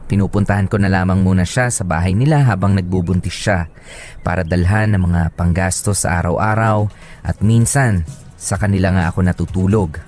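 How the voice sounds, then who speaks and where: A man's voice: low voice, steady tone, normal speed, darkish tone, soft background music. A man with a Filipino accent who works as a radio host and storyteller. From Philippines. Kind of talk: dramatic story narration and casual radio banter.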